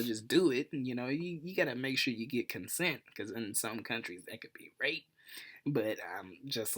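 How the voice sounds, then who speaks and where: A man telling a personal story casually as a vlog host, in an informal, animated way with self-deprecating humor. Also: mid-range voice. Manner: animated retelling, acts out dialogue. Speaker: vlogger, channel host.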